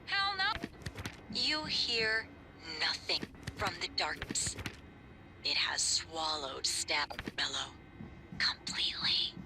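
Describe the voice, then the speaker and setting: A woman playing a young game master in a video game, telling an ominous fantasy tale theatrically, with hushed, drawn-out phrases and dry teasing. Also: deep voice, lively intonation, noisy background, heavily acted. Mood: teasing, dramatic. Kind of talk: video game character acting.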